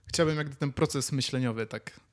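A man's voice: medium pitch, moderate pace. A man co-hosting a podcast, chatting casually and musing aloud as he searches for words. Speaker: podcast co-host. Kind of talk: casual chat, musing and telling anecdotes.

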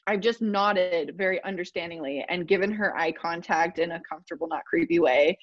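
A woman telling stories in a casual interview, animated, laughing often and filling with "like". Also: fairly low pitch, brisk, no echo, lo-fi sound. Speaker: guest.

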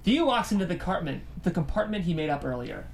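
A narrator reads screenplay stage directions at a table read. The voice is mid-pitched, with faint noise from the room behind it. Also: very animated, fast, gravelly.

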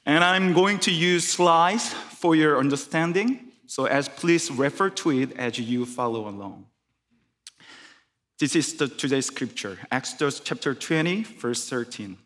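Korean-accented man preaching slowly in church. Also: on the high side, animated, a bit bright, big-room sound. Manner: careful, halting English with restarts. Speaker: guest preacher. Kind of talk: sermon. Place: church sanctuary.

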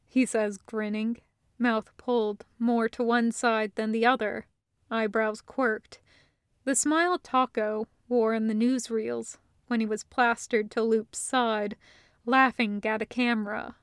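A woman reading a story as scripted narration, with measured, deliberate phrasing. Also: on the high side, smooth, no echo, clean studio sound. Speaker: audiobook-style fiction reader.

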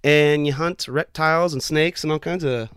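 A man hosting a casual podcast interview, chatty and friendly with a slightly raspy, tired voice. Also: on the high side, steady tone, normal speed, fairly bright tone, no echo, clean studio sound. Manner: friendly, chatty, laughs often. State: slightly raspy, losing his voice. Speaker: podcast host.

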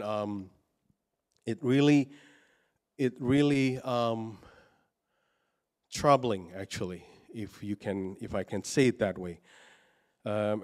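A man's voice: mid-range voice, a little mellow. A man from Indonesia giving a sermon, speaking hesitantly with many fillers and restarts, personal and earnest. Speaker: preacher.

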